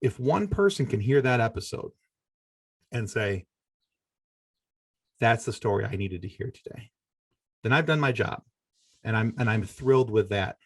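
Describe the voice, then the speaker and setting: A man's voice: medium pitch, expressive, a little slow, pauses often, husky, dry recording, studio quality. A middle-aged American man from Michigan, a podcaster, giving earnest, rambling conversational answers as an interview guest. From Michigan, United States.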